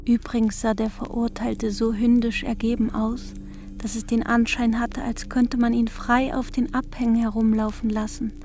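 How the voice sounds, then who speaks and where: A woman reading literary prose slowly and deliberately in a radio play. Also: a little high, flat delivery, warm tone, slight background noise. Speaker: reader.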